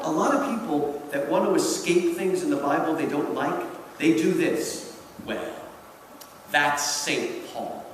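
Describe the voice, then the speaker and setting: An American man from New Jersey giving a public lecture with humorous stories, in a theatrical, emphatic manner. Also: a little high. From New Jersey, United States. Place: large reverberant event hall. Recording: echoey hall from far off, noisy.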